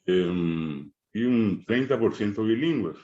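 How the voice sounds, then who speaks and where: A middle-aged Paraguayan man with a fairly low voice and a Paraguayan Spanish accent gives a conference lecture. He grew up speaking Guarani first and talks in a measured, emphatic way, pausing on hesitations. The sound is dull and lo-fi. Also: lively intonation, darkish tone. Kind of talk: academic conference talk.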